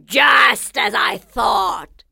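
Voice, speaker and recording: Storybook tiger, male, hungry and pushy, voiced by a woman; bright, slow delivery. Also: fairly high pitch, vocal fry, breathy edge, no room sound, clean studio sound, exaggerated voice. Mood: smug. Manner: loud, drawn-out growling delivery. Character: hungry impatient male tiger, big and bossy.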